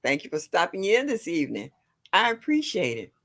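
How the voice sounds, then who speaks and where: A woman in her sixties from with a deep, low voice, chatting casually on a livestream, greeting viewers and laughing often. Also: huge pitch swings, fairly quick, a bit bright. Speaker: livestream host. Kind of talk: casual chat reading viewer comments.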